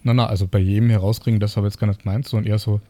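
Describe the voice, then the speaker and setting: Male podcast co-host, Austrian accent, dark mellow low voice, reflective and casual. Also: fairly even intonation, very fast, no echo. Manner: reflective, speaks in piecemeal phrases with rhetorical questions. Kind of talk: casual explanatory podcast talk.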